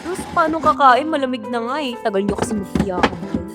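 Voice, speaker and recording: Woman acting a cheeky, high-voiced Filipino younger sibling. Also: animated, brisk, loud music behind the voice, lightly acted. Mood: teasing, impatient. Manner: teasing, complaining. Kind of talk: radio drama acting.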